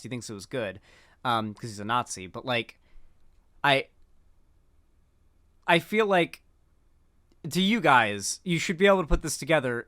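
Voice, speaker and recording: A man's voice: on the high side, a bit bright, dry recording, clean studio sound. A man giving casual commentary on clips, rambling with frequent fillers and restarts. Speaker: political commentator.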